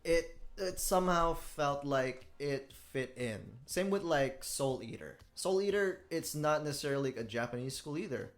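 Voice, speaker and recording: A man from the Philippines chatting casually as a podcast co-host, excitable and often repeating his words. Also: fairly high pitch, normal speed, few pauses. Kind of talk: casual chat.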